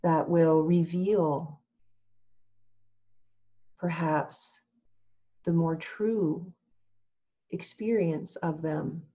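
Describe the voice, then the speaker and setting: Very slow, mellow woman guiding meditation. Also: sits low, lo-fi sound. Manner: calm, gentle, measured with long pauses. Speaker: meditation teacher. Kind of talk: guided meditation instruction.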